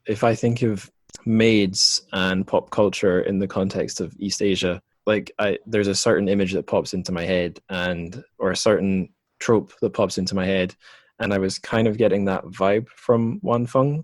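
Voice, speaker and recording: Deep-voiced male podcast host, rambling. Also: steady tone, normal speed, dry recording. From lived in China. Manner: rambling, many fillers and restarts. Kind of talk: casual interview chat.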